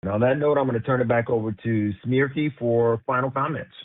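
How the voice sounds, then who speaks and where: A male company executive giving deliberate, formal prepared remarks on an earnings call. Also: mid-range voice. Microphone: conference call line. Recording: tinny narrow-band phone line.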